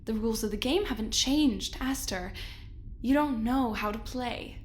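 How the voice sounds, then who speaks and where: An actress as a smug corporate woman in an audio drama, condescending and controlling. Her voice is a little high for a woman and she takes her words slowly, leaning on them. There is faint noise behind her. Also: natural voice. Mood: mocking, cold. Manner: condescending, deliberate, drawn-out emphasis.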